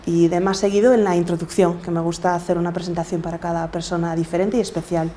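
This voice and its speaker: Mellow middle-aged Galician woman. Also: fairly low pitch, flat delivery, fast talker, a little hiss or hum. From Galicia, Spain. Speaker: podcaster and coach, interview guest. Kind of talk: reflective interview conversation.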